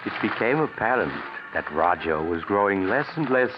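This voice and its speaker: Casting: deep-voiced male narrator for vintage radio mystery, suspenseful and measured, dull lo-fi sound over music. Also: expressive. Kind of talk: scripted old-time radio mystery narration. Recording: muffled lo-fi old radio recording.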